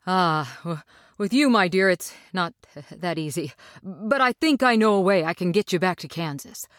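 A woman's voice: on the low side, normal speed, a bit bright, no room sound, studio quality, natural voice. A woman voicing a kindly, sheepish little old man, a fraudulent storybook wizard who speaks apologetically. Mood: gentle. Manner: stuttering, sorrowful.

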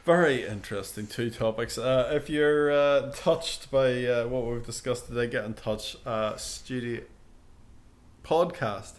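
A man's voice: medium pitch, unhurried. A man co-hosting a podcast, explaining ideas and agreeing often in casual chat. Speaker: podcast co-host. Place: podcast studio.

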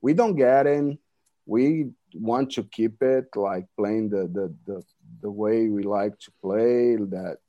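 A Brazilian man in his forties with a Brazilian Portuguese accent, chatting as a guest in an interview, halting and repeating words, laughing often. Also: lowish voice, highs cut off. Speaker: band member, guest. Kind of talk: casual interview chat.

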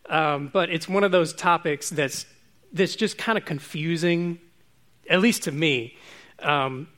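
A man preaching a relaxed, informal sermon to his congregation, chatty and self-joking. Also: on the high side.

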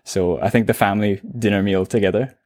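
A man's voice: lowish voice, fairly even intonation, fast talker, darkish tone, no room sound, clean studio sound. Trinidadian man telling his story. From Trinidad and Tobago. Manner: reflective storytelling, frequent "you know". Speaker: guest. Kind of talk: conversational podcast interview.

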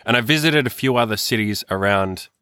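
Aussie guy podcasting, low voice but bright and punchy, swears a lot, dry room sound. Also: fairly quick, clear, resonant tone, no echo. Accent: Australian. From Australia. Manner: loose, sweary, filler-heavy talk. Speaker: podcast host. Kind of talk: casual chat.

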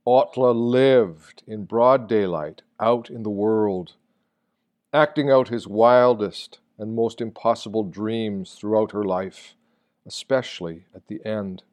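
A man reads a written sermon aloud in a measured storytelling manner, with deliberate pauses. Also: lowish voice, a little mellow. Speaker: reader of written meditations. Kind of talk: read-aloud literary sermon.

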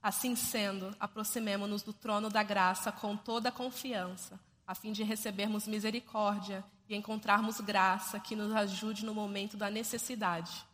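A woman's voice: medium pitch, level tone, a bit bright, slight background noise. Brazilian woman reading in a church hall. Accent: Brazilian Portuguese. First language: Portuguese. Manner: careful, measured reading. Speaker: church member giving a testimony. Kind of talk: read testimony and scripture reading. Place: church sanctuary.

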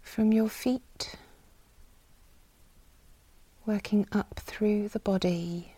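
A woman leads a guided meditation at a very unhurried pace. Her voice is mellow and soft, with a breathy, soothing delivery and long pauses. The sound is close and dry. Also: mid-range voice, steady tone, no echo. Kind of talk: guided body scan meditation.

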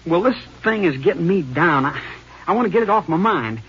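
A man's voice: high-pitched, animated, brisk, a bit bright. An actor playing a nervous young man who hesitates and stammers, in a 1950s radio drama. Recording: dull lo-fi old radio broadcast with faint hiss.

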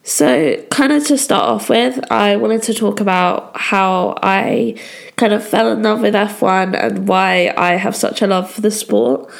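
A young woman from England hosting a solo podcast, her voice croaky from a cold, chatting along with lots of "kind of" and "um". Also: medium pitch, unhurried. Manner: chatty, many fillers like "kind of". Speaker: podcast host.

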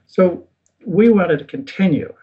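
An older man in a casual interview, recounting his experiences in a relaxed, self-deprecating way. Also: high voice, a little slow, husky. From United States. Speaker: guest. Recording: dull lo-fi recording.

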